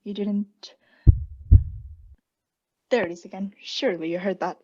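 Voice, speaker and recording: Young woman as unhinged radio-play caretaker, breathy whispery voice, halting and insistent, medium pitch, slightly dull sound. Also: steady tone. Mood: uneasy, distracted. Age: young adult. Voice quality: breathy, often dropping to a whisper. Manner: halting, insistent, self-justifying. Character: guilty, unhinged caretaker, anxiously feigning calm politeness. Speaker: student actor.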